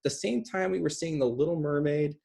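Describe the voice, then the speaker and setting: Husky-voiced man in his forties. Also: a little high, fairly quick, mellow. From small rural town. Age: 40s. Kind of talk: casual discussion on a video call. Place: small room at home, indoors. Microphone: computer on a video call.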